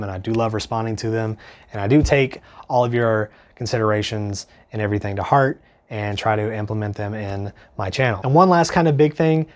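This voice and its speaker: A young man in his twenties with a stuffy, congested voice from a cold, chatting casually and earnestly as he reviews gear in his small home studio, with lots of ums. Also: medium pitch. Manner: chatty, filler-heavy, earnest.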